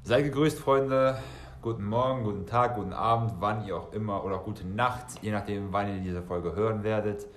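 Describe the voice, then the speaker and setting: A man's voice: on the low side, fairly even intonation, moderate pace, roomy sound, a little hiss or hum. A bilingual German and Serbian man hosting a solo podcast, talking conversationally and directly to listeners, often rephrasing as he goes. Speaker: podcast host.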